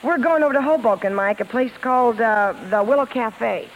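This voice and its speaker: A woman's voice: fairly high pitch, fairly quick, highs cut off, close to an everyday voice. An actress playing a confident, breezy female vaudeville star in a 1950s radio drama. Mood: eager. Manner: breezy, bossy, slangy. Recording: noisy old radio broadcast.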